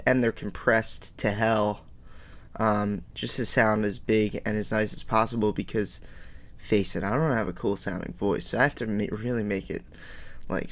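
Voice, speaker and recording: A man casually walking through his music production in a home studio, rambling and self-deprecating with lots of fillers. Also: on the low side. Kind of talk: casual tutorial walkthrough. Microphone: studio microphone.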